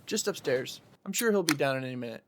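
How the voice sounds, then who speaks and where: An actor playing an earnest young man in a conversational audio drama, explaining himself in a measured way. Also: mid-range voice, lively intonation, background noise, lightly acted. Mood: casual, welcoming. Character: earnest young man pleading for patience and trust.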